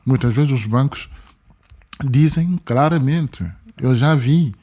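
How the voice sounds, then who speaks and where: A man from Senegal speaks with a French-tinged accent and a mellow, warm tone. He talks earnestly as an interview guest, repeating words to drive a point home. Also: mid-range voice, takes it slowly. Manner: emphatic, repeats words for stress. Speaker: guest, activist. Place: podcast studio.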